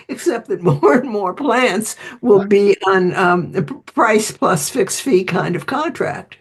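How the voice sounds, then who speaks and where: A woman answering interview questions as an expert author, explaining conversationally and voicing short imagined quotes as she goes. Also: a little high.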